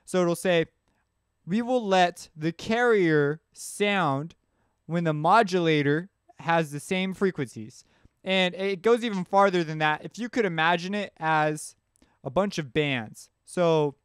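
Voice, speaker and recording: A man's voice: on the high side, a little slow, clear, resonant tone, no echo, clean studio sound. A man giving a casual, informal tutorial, explaining things as he thinks aloud. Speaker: music production tutorial instructor. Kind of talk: casual explanatory screencast narration.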